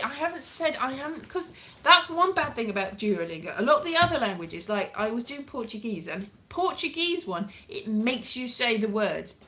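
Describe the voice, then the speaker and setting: A woman, perhaps in her late thirties, chatting casually to camera in an animated, rambling, dryly jokey way. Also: fairly high pitch. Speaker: vlogger, language learner. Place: small plain room indoors.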